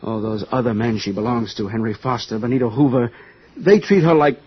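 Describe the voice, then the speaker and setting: A man's voice: medium pitch, fairly quick, husky, natural voice. An actor playing a bitter, insecure, jealous young man, edgy and resentful, in mid-century radio drama style. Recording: dull, lo-fi old radio recording.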